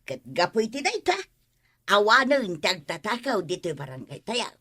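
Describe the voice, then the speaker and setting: A woman's voice: mid-range voice, sing-song, moderate pace, a bit bright, husky. A woman with an Ilocano accent playing a fretful, gossipy village neighbour in a radio comedy drama, chatty and dramatic.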